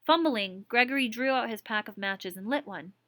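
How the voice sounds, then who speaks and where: A woman teacher reads a children's story aloud. Her voice sits a little high and sounds close and dry, with no room around it. She often stops mid-passage to explain vocabulary and ask her listeners what they think. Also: moderate pace, sharp tone, no room sound. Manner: reads the story text, then stops to define words and ask checking questions.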